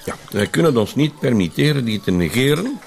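A man's voice: medium pitch, big pitch swings, brisk, vocal fry, a little mellow. A man with a Flemish accent acting an affable, conspiratorial police colonel in a radio drama, often chuckling. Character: genial senior police colonel, confiding.

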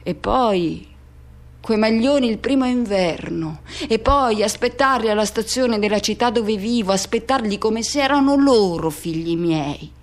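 An anguished, high-voiced haunted lover. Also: female voice. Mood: mournful, subdued. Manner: intense, halting, short broken phrases with repetition. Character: anguished person haunted by a lost youthful love. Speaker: actress performing a monologue. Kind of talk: dramatic radio theatre monologue.

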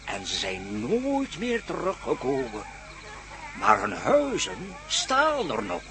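An actor playing a solemn storyteller who recounts an ancient legend in a radio play, with deliberate, dramatic phrasing. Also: male voice, on the high side, huge pitch swings, full character voice. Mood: wondrous, solemn.

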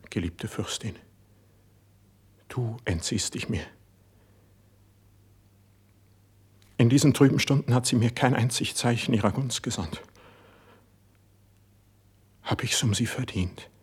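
An actor playing an impassioned, suspicious young court poet in a classical verse radio drama, with a husky, declamatory delivery. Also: male voice, medium pitch. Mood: anguished, brooding. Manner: declamatory, intense stage delivery.

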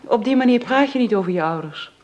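Actrice als trotse, stekelige jonge vrouw, levendige stem. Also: female voice, on the high side, expressive, quick pace, a little mellow, natural voice. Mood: indignant. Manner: indignant, curt, defiant. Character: proud, principled, prickly young woman. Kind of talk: radio drama acting. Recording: dull, band-limited radio drama sound.

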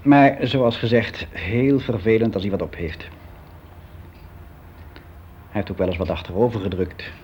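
An actor playing a weary, resigned office clerk around sixty, confiding in a halting, reflective way in a naturalistic radio play. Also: male voice, fairly low pitch, a little mellow, natural voice. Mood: matter-of-fact. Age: 60s. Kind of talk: naturalistic radio drama monologue. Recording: dull, noisy radio play recording.

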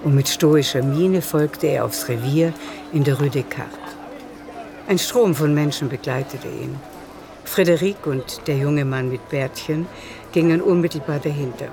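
A woman's voice: deep voice, moderate pace, creaky, background noise. A woman reading scripted literary narration as the narrator of a radio drama.

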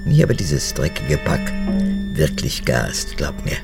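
A woman's voice: very deep voice, big pitch swings, measured pace, vocal fry, loud music behind the voice, exaggerated voice. An actress playing a frail, cracked-voiced old woman, a needy and spiteful mother with a childlike wheedling manner, in a radio drama. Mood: hateful, unrepentant. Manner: wheedling, childlike, swinging between whisper and outburst.

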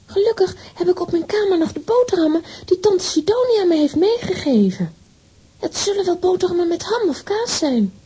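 A woman's voice: extremely high pitch, very animated, moderate pace, warm tone. An actress playing a spirited, impulsive young girl with dramatic, exclamatory delivery in a children's audio drama. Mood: hungry, curious.

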